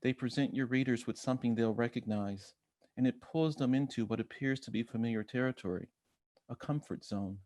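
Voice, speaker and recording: An American man reading a personal essay aloud in measured literary narration, voicing bits of quoted dialogue as he goes. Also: mid-range voice, a little mellow, no room sound. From Chicago, United States. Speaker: guest essayist reading own work.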